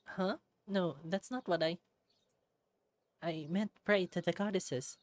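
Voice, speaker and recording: A woman voicing a kind, anxious, devout village woman in a visual novel, explaining things gently and hesitantly. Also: fairly low pitch, slow, dry recording, pitched above the performer's own voice. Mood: flustered.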